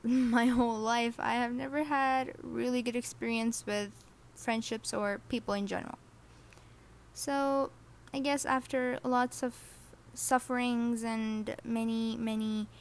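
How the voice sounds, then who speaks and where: A woman's voice: on the high side, slight background noise. A teenage girl from Saudi Arabia hosting her first podcast episode, chatty, self-deprecating and a little nervous. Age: teens. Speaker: podcast host. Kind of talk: casual personal podcast monologue.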